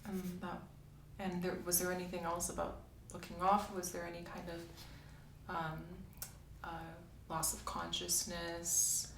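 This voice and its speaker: A soft-spoken woman doing an ASMR medical roleplay as a doctor, asking methodical questions in a reassuring way. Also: lowish voice, monotone, unhurried, creaky. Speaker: ASMR creator playing a doctor. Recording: roomy, distant mic, faint hiss.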